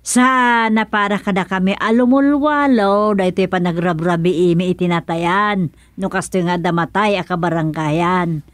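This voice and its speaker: An actress playing a fussy, chiding village wife and peacemaker in a radio comedy-drama, with an Ilocano accent. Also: female voice, on the low side, steady tone, normal speed, dry recording, clean studio sound, natural voice.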